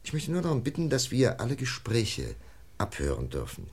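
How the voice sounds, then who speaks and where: An actor playing a calm, reassuring police inspector in a German radio crime play, measured and methodical. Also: male voice, medium pitch, creaky, husky, exaggerated voice. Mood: measured, explaining.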